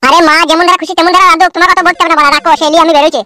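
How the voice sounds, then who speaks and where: A man's voice: extremely high pitch, fairly even intonation, rapid-fire, bright, forceful tone, slight background noise, full character voice. A man voicing an irritable, foul-mouthed young village son in a comedy cartoon, curt and grumbling. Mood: angry, cursing.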